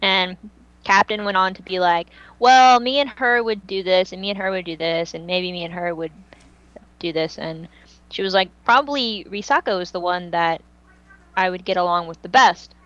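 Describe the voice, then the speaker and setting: A woman's voice: fairly low pitch, moderate pace, a bit bright, no room sound, highs cut off. A woman hosting a podcast, chatting casually in an informal, slangy way. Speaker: podcast host.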